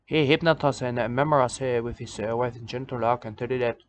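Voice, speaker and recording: A man playing an odd male preacher of a nudist church, who talks in halting broken English and keeps repeating himself. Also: medium pitch, steady tone, a little hiss or hum, close to an everyday voice. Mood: rambling. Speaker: self-styled church leader. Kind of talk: rambling comic monologue. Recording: dull lo-fi recording.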